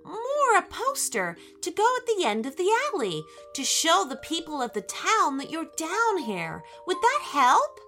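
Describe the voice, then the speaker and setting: A woman voicing a polite, gentle little girl in a children's story, timid and hesitant. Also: very high voice, wildly swooping pitch, a little slow, hardly any pauses, soft background music, pitched above the performer's own voice, heavily acted. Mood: hesitant, hopeful.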